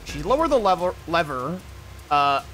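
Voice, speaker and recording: A man's voice: on the high side, very animated, moderate pace, a bit bright, faint music behind, poor audio. A man streaming a puzzle adventure game, casually commenting, reading text aloud and muttering to himself.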